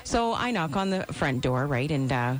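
Woman radio guest, low pitch, animated chatty storyteller. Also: moderate pace. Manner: chatty, laughs often. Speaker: real estate sales representative. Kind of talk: casual radio chat, storytelling. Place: radio studio.

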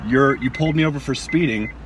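Fast-talking, frustrated driver from a clip. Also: male voice, medium pitch, background noise. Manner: frustrated and arguing. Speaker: driver in a traffic-stop video. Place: in a car at the roadside. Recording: distant in-car phone recording.

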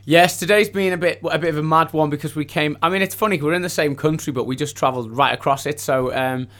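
A man, a singer being interviewed in a radio studio, chatting casually in an effusive, grateful way at a medium pitch. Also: fast, fairly bright tone.

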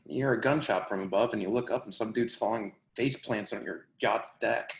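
A man's voice: lowish voice, moderate pace, creaky. A man playing a foul-mouthed, incredulous young housemate in a play reading, talking in emphatic slang. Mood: amazed, amused. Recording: roomy, echoing live reading.

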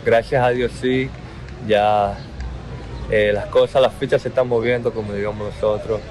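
Low, flat-voiced Dominican young man. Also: rough recording. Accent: Dominican Spanish. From Dominican Republic. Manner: slow, halting, repeats words. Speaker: basketball player interviewed. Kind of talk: outdoor interview.

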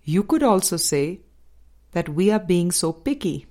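A woman narrating a spiritual dialogue book as a scripted audiobook reading, with measured, deliberate phrasing and pauses. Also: lowish voice, big pitch swings, a little mellow. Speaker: audiobook reader.

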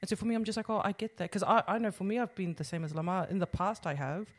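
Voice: mid-range voice, rapid-fire. A podcast co-host arguing earnestly in a casual debate, backing points with personal experience. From Pacific Islander community. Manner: earnest, explains with personal examples.

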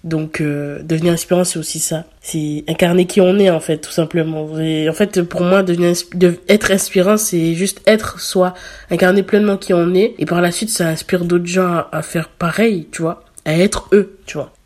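A young woman hosting her own podcast, talking earnestly in a halting way with many fillers and restarts, a little stuffy from a slight cold. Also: lowish voice, steady tone, darkish tone. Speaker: podcast host. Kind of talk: casual solo podcast talk.

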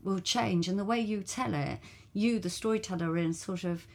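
A woman's voice: medium pitch, moderate pace, creaky, a little mellow. A woman storyteller talking casually and thoughtfully on a podcast, often affirming the others. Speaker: storyteller guest.

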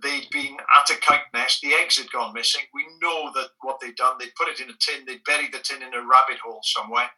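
A middle-aged man with a Welsh accent tells blunt stories in a very bright, cutting voice. He sits a little away from the microphone, so the sound is slightly distant. He stumbles and restarts often as he talks. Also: medium pitch. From Wales. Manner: frank, animated storyteller with frequent restarts. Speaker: guest, former bird protection officer. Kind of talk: conversational anecdote.

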